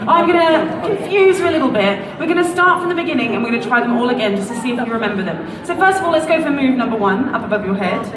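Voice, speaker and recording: Bubbly woman leading a crowd. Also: sits high. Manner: bubbly, encouraging, playful. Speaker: event presenter. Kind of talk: live crowd instruction. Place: large indoor public venue. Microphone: amplified microphone. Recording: echoey PA sound from far off. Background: crowd noise.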